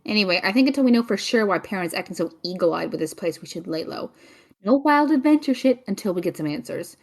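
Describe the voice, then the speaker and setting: A woman's voice: mid-range voice, expressive, fast. A woman playing a witty, brainy magical sidekick, dry, teasing and precise, in a fantasy audio drama. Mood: practical.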